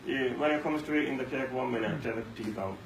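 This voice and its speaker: A man's voice: mid-range voice, fast. A man giving a Ramadan talk at a mosque, telling stories and repeating lines for emphasis. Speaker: mosque speaker. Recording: echoey room, mic far off, faint hum.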